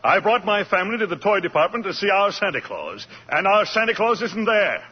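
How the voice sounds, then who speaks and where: An actor playing a blustering, commanding department-store owner in 1940s radio-drama style. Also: male voice, high voice, very animated, brisk, slightly rough, full character voice. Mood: indignant. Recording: muffled 1940s radio broadcast with long reverb.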